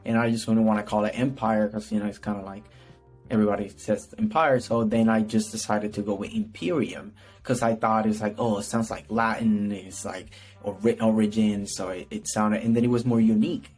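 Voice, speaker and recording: A man's voice: on the low side, steady tone, fairly quick, darkish tone, faint music behind. A man from Puerto Rico, a native Spanish speaker with a Puerto Rican accent, answering casually as a podcast guest, rambling and often adding "right?". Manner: rambling, repeats words, frequent "right?" tags. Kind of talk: casual conversational interview answers.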